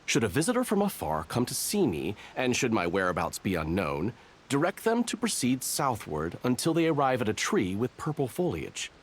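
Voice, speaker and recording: An actor voicing a dutiful, by-the-book soldier in a video game, speaking in a formal and precise way. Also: male voice, medium pitch.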